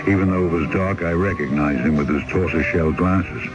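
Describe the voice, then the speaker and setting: A man's voice: sits low, fairly quick, muffled recording, loud music behind the voice, close to an everyday voice. Actor playing a weary old ferryman narrating. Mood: reflective. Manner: reflective, storytelling. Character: aging exiled ferryman, weary and wry. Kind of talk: 1970s radio drama acting.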